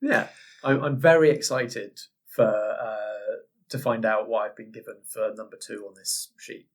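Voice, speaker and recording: A man's voice: on the low side, fairly even intonation, unhurried. A man chatting casually as a podcast guest, thinking his answers through aloud in a thoughtful way. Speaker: podcast guest, game master. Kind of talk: casual chat.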